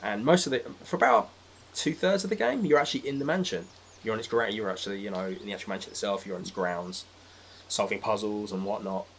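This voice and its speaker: A man talks quickly in a fairly low voice as a guest in a casual discussion. His delivery is informal and descriptive. There is some noise in the background. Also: fairly bright tone. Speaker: podcast guest. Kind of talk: casual film and game chat.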